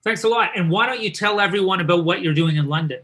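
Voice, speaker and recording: Man, Canadian accent, live talk host, chatty and upbeat, slightly high voice. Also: fast, fairly bright tone. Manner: chatty and upbeat, teasing his guest. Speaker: host and company founder. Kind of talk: live online talk and presentation.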